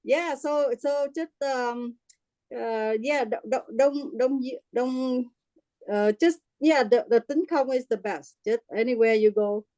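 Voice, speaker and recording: A middle-aged woman with a Vietnamese accent speaks in a mellow, darker tone, close and dry with little room sound. She talks haltingly, stacking fillers and restarts, and breaks easily into laughter. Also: a little high, no echo. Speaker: group member sharing her experience. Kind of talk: casual personal sharing.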